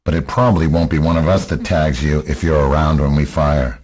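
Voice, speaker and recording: A hard rebel leader, played by a man in a radio drama. The voice is extremely deep and husky, with solemn, grim declarations. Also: fairly even intonation, a little fast, darkish tone.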